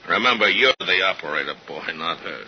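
Low, cynical, slangy radio tough guy. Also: animated, fairly quick. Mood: cynical, needling. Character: cynical, bossy male operative, streetwise and needling. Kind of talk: 1950s radio drama acting. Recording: muffled, hissy 1950s radio recording.

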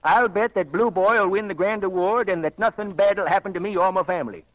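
An actor playing a proud, stubborn middle-aged farmer with a folksy rural manner in a 1940s radio drama. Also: male voice, sits high, a little fast, no room sound, close to an everyday voice. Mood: confident. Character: proud, stubborn Iowa farmer, father doting on his prize boar. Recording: muffled 1940s radio broadcast.

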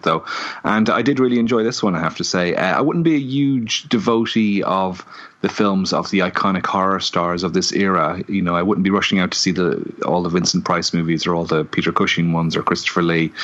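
A man co-hosting a podcast, casually reviewing a film with lots of ums and pauses. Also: low voice. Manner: relaxed, many ums and hesitations. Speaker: podcast co-host. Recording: dull lo-fi audio.

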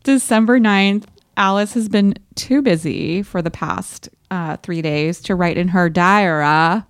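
A woman co-hosting a podcast, chatting casually and reading aloud from a diary in an expressive, playful way. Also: fairly low pitch, unhurried, clear, resonant tone, no room sound, clean studio sound. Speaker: podcast co-host.

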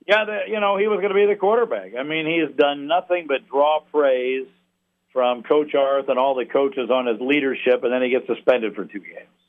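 A man on a thin phone line chatting casually about sports as a guest announcer, in a folksy, rambling, halting way that repeats words. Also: mid-range voice. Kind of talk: casual sports analysis chat. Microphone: phone call.

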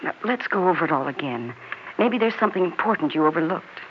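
Actress as an anxious mistress, muffled old radio. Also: female voice, fairly low pitch, animated, fast talker, close to an everyday voice. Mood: worried. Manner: worried, questioning. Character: anxious, practical mistress of a murderer. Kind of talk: 1960s radio drama acting. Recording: muffled, hissy vintage radio recording.

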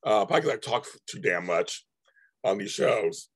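A man hosting a casual live stream, his voice going hoarse, rambling with many restarts and repeated words. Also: lowish voice, lively intonation, normal speed. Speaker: livestream host.

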